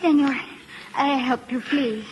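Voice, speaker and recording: High-voiced shy Mexican girl. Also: takes it slowly, dull audio. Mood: shy, earnest. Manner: timid, terse. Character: shy, devoted young Mexican girl, soft-spoken. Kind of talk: old-time radio drama acting. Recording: old radio, faint background noise.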